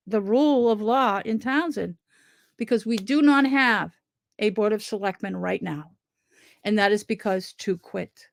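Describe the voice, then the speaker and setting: A woman's voice: on the high side, a bit bright. A middle-aged woman talking casually to camera from home about a town petition, earnest and rambling, often restarting her sentences. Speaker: local resident promoting a town petition. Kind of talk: casual direct-to-camera talk.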